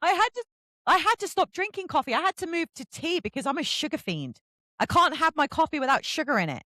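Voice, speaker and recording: A middle-aged woman hosts a chatty interview. Her voice sits fairly high and swings up and down a great deal as she tells animated, self-mocking stories. The sound is dry and close. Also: quick pace, dry recording. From England. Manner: animated, self-deprecating storytelling. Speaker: podcast host interviewing an author.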